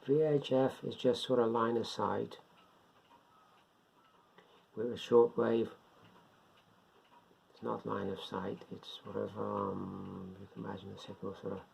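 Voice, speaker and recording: A man giving an informal, unscripted beginners' explainer in a rambling, step-by-step way, repeating himself, with a gravelly, husky voice. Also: fairly low pitch, darkish tone. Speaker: hobbyist presenter of a beginners' guide. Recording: dull lo-fi home recording.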